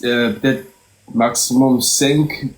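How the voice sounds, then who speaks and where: A man's voice: medium pitch, steady tone, slow, reverberant, rough recording. A man hosting an online talk show, polite and hesitant, searching for his words. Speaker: host.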